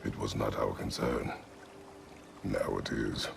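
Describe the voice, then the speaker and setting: Gravel-voiced, brooding warrior. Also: male voice, little pitch movement, slow, slight background noise, exaggerated voice. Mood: grim, stoic. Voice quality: very deep, gravelly growl. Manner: terse, clipped, solemn phrases. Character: brooding, stoic, gruff warrior husband. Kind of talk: dramatic video game acting.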